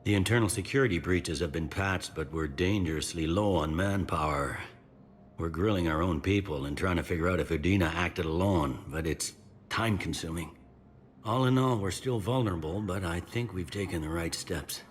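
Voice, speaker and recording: A man playing a gruff, dogged security commander in a video game, speaking in a blunt, world-weary way. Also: low voice, moderate pace, a little hiss or hum, close to an everyday voice. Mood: grim.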